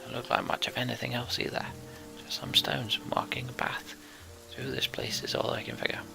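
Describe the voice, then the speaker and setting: A man's voice: mid-range voice, moderate pace, vocal fry, whispered, music in the background. A man voicing a matter-of-fact scout giving a report in a fantasy game.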